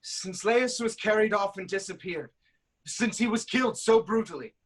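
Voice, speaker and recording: A man's voice: high voice, animated, moderate pace, slightly rough, poor audio. A man playing an angry, suspicious ancient Greek king in a declamatory, accusing stage tragedy reading. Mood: suspicious, interrogating.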